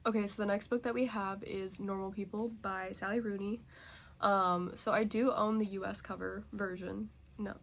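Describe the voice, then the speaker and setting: A woman's voice: mid-range voice, little pitch movement, moderate pace, vocal fry, a little hiss or hum. A young woman chatting casually as a book vlogger, nasally congested and rambling with many fillers. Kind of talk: casual chat.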